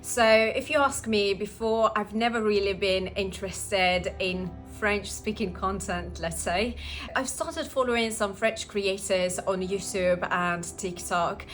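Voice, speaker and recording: A woman's voice: medium pitch, a little slow, a bit bright, soft background music. A young woman whose first language is Russian, explaining language-learning habits in a casual vlog with frequent "uh" fillers. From Russian-speaking. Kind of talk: casual vlog talk to camera.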